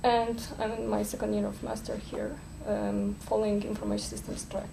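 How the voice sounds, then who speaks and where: A woman's voice: mid-range voice, level tone, normal speed, vocal fry, background noise. A woman from Romania introducing herself as a master's student in class. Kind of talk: self-introduction.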